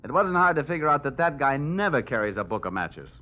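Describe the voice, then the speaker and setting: An actor playing a cocky, wisecracking American insurance investigator in a 1950s radio detective drama, wry and slangy. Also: male voice, fairly high pitch, big pitch swings, fast. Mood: tough, mocking. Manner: wry, hard-boiled, slangy. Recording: dull vintage radio recording with music.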